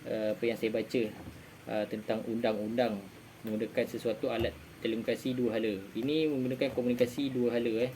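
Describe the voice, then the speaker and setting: A Malaysian man talks his viewers through practical advice in an informal, conversational way. His voice is mid-pitched and mellow, and he often restates a phrase to drive it home. Faint noise sits behind the voice. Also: flat delivery, quick pace. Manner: repeats phrases for emphasis, informal tag words. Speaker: amateur radio vlogger giving advice. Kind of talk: casual explainer chat to viewers.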